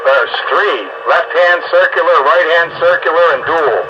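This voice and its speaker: A man chatting casually as a radio hobbyist over two-way radio, folksy and halting, with a grumbling way of talking. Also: on the high side. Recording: muffled, noisy two-way radio transmission.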